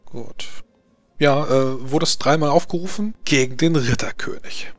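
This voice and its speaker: A man's voice: on the high side, a little slow, no echo. A man narrating an improvised tabletop roleplaying session as game master.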